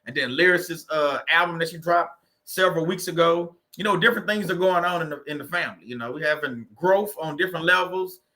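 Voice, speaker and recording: Louisiana guy hosting a livestream, bright voice, pitched a bit high, loose and jokey, shouts out viewers by name. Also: fairly quick, hardly any pauses. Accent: Louisiana Southern US. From Louisiana, USA. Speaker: livestream host. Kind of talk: casual livestream chat with viewers.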